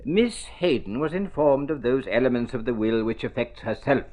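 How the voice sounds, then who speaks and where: A man's voice: mid-range voice, animated, moderate pace, highs cut off, natural voice. A man playing a pompous, precise family solicitor in a mid-century radio drama, clipped and formal. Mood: stiffly formal.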